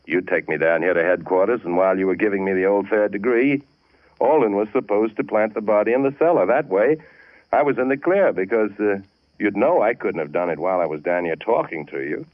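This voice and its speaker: An actor playing a cold, cynical, scheming young husband in 1940s radio drama style. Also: male voice, fairly low pitch, fairly quick, dry recording, natural voice. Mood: matter-of-fact confession. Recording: tinny, band-limited old radio recording.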